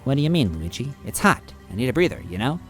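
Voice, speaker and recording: A man's voice: medium pitch, very animated, fast talker, faint music behind, voice pushed up, natural voice. A man voicing a blunt, bossy young toad girl. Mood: casual. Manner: blunt, exasperated.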